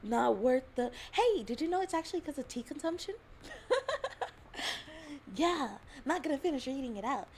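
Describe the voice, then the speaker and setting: A woman's voice: high-pitched, animated, moderate pace, pauses often, darkish tone, a little hiss or hum. A young adult woman from Alabama chatting casually on a livestream, giggling often and rambling between thoughts. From Alabama, US South. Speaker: streamer.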